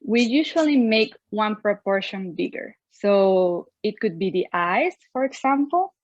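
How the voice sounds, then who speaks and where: A woman's voice: mid-range voice, expressive, measured pace, dry recording, muffled recording. A young woman with a Venezuelan Spanish accent, a native Spanish speaker, teaching an online drawing class in a cheerful manner and laughing often. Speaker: art teacher.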